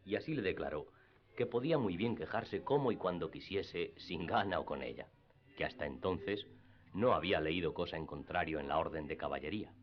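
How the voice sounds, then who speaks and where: A man's voice: deep voice, a little fast. A man reading scripted literary narration for radio theatre. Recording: dull lo-fi radio drama with music.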